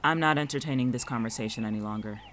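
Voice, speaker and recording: A man's voice: mid-range voice, rapid-fire, fairly bright tone, close to an everyday voice. Man acting dry, sarcastic college student, noisy background. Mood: irritated. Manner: dry, curt, sardonic. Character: Vincent, guarded, sarcastic male college pre-med student. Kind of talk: fiction podcast acting.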